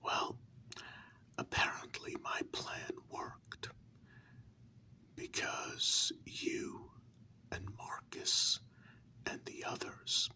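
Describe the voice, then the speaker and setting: A man voicing a hurt human lover confronting a vampire partner in a whispered ASMR role-play, with earnest, deliberate, drawn-out words. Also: pushed and bright, full character voice. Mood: reproachful, insistent. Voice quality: breathy unvoiced whisper.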